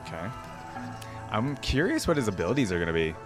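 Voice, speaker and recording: Low-voiced man in casual reaction commentary. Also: sing-song, fairly quick, music in the background. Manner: rambling, frequent "like" and "you know". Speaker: YouTube reaction host. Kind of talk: casual reaction commentary and read-aloud story.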